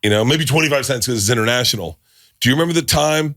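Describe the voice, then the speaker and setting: A man's voice: medium pitch, fast, no room sound, studio quality. A middle-aged man from Florida hosting a podcast, telling stories in casual chat, acting out quotes and laughing often. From Tampa, Florida. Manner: animated storyteller, acts out quotes, laughs often. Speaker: podcast host.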